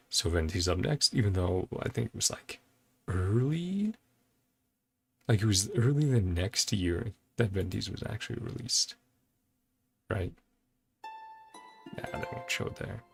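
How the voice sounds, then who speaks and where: Low, gravelly man thinking aloud. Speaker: reaction video host. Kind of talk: casual reaction commentary. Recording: faint music behind the voice. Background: faint music.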